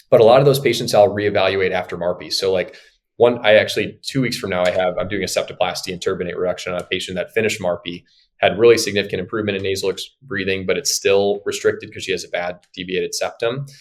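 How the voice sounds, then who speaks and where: A man in his thirties with a fairly low voice, speaking as a surgeon guest on a podcast. He talks through patient cases in an explanatory, conversational way. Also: fairly even intonation, a little fast. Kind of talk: casual podcast interview.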